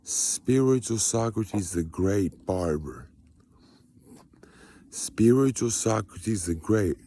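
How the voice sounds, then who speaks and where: An American man with a fairly low, mellow voice and a husky edge. He delivers a casual motivational testimony, emphatic and preachy, circling back on phrases to drive them home. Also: lively intonation, slow. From United States. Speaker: spiritual vlogger.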